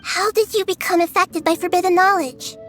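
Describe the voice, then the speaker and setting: Little girl goddess in a game, high voice, calm n wise, earnest formal talk, soft music behind. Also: a little fast. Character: small gentle young girl deity, wise and composed. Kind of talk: English video game voice acting.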